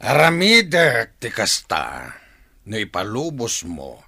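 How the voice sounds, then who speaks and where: Male actor as taunting village villain, Ilocano accent, gravelly, forceful, widely swinging pitch, radio drama. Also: wildly swooping pitch, no echo, studio quality, exaggerated voice. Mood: gloating menace. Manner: taunting, slow and threatening. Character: menacing village captor, a taunting male gang leader.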